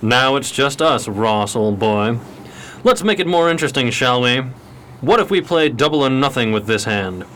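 An actor playing a suave, dapper 1920s gentleman gambler, polished and courteous, in a radio crime drama. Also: mid-range voice, lively intonation, moderate pace, a little hiss or hum, natural voice. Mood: cocky.

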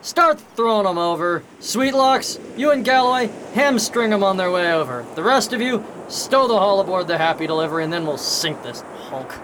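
Pirate captain, high male voice, mocking, swaggering, mock-courteous, radio drama, noisy rumbling recording. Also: moderate pace, few pauses. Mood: coldly mocking. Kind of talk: audio drama acting. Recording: noisy audio with steady rumble.

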